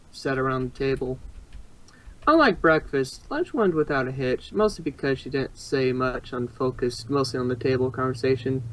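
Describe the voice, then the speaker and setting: A man's voice: medium pitch, poor audio. A man reading fan fiction aloud as an amateur narrator, sometimes stumbling and rereading phrases.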